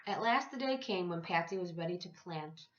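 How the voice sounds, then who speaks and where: A woman's voice: lowish voice, lively intonation, normal speed, slight background noise. Woman reading a children's story, echoey room. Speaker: teacher reading aloud to children. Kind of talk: read-aloud storytelling for young children. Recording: echoey room, slightly dull sound.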